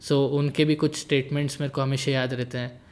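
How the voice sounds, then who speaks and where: A man's voice: mid-range voice, little pitch movement, a little fast, darkish tone. A man in his late twenties, a guest in a casual conversation, talking in an easygoing, joking way and slipping English phrases into his sentences. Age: 20s. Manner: jokey, easygoing, mixes English into Hindi.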